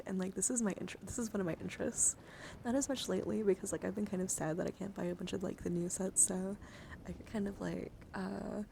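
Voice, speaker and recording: A young woman livestreaming casual ASMR card chat, with a breathy voice that often slips into whispers and nerdy, coaxing, rambling asides. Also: medium pitch, a little mellow. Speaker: livestreamer showing her card deck. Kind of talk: casual ASMR hand-cam chat with viewers. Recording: noticeable background noise.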